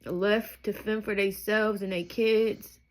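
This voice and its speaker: A woman's voice: medium pitch, steady tone, slow pace, poor audio. A woman of thirty telling her life story to her viewers, candid and emotional, rambling with asides as she goes. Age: 30s. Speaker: vlogger. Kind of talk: personal testimony to camera.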